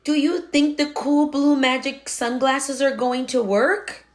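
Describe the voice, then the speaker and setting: A woman reads a children's storybook aloud in a high voice, very slowly. She is expressive and pauses the narration to speak simply to her young listeners. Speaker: read-aloud host.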